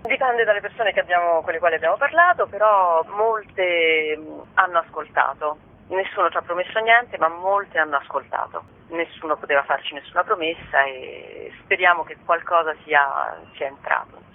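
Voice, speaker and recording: A woman's voice: fairly low pitch, normal speed, few pauses. A woman being interviewed on the radio as a guest bookseller. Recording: muffled narrow phone line with noise.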